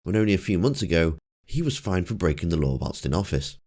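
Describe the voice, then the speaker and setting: A man with a croaky, cold-roughened voice, giving casual commentary with dry, wry asides. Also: low-pitched, very animated, fast, a little mellow. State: hoarse from a cold. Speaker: political commentator. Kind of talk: casual commentary over clips.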